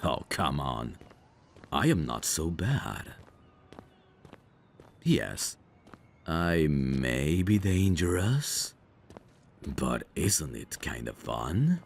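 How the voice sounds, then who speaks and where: A man playing a dangerous, flirtatious mafia boss in an ASMR roleplay, smugly protective, with a teasing, self-assured, unhurried delivery. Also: a bass voice, very animated, vocal fry, a little hiss or hum, exaggerated voice. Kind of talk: ASMR roleplay audio drama.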